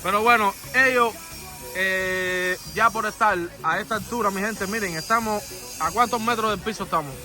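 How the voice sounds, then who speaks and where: High-voiced man interviewing coworkers outdoors. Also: moderate pace, music in the background. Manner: chatty, laughing, repeats words. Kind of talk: casual on-site interview. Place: outdoors on scaffolding high above a city street.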